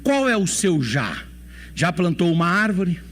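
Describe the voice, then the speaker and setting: A Brazilian man in his forties preaches in a church, asking the congregation question after question. His voice sits high for a man and swings widely in pitch. He repeats his key phrases again and again. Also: very animated, unhurried, fairly bright tone. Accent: Brazilian Portuguese. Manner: preaching with rhetorical questions and repetition. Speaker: pastor. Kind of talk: sermon.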